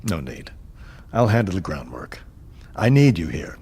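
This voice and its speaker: A commanding male superior in an audio drama, very slow and deliberate, with a husky voice. He sounds amused and softly patronizing, with a sinister calm. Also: low voice, full character voice. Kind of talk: scripted audio drama acting.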